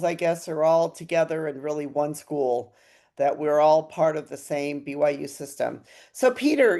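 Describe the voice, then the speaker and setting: A woman's voice: lowish voice, creaky, warm tone. A woman hosting a casual interview, chatty and upbeat, who laughs often. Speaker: interviewer, host.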